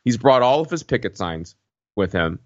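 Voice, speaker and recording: A man's voice: medium pitch, very animated, moderate pace, no room sound, dull audio. A man hosting a casual conversational podcast, joking in a dry, wry way. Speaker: podcast host.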